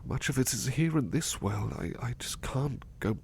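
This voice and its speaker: A man playing a devoted, anxious butler with a haunted past in an intimate ASMR roleplay, speaking softly and haltingly with stammers. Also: medium pitch, animated, slight background noise, close to an everyday voice. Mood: wistful, pleading. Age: middle-aged or younger adult. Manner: hesitant, stammering, soft-spoken, drifting into whispers.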